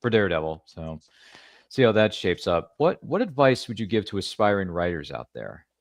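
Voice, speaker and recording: A man's voice: low voice, big pitch swings, normal speed, gravelly, no echo. A man hosting a live interview show, chatting casually with jokey, rambling asides. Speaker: podcast host.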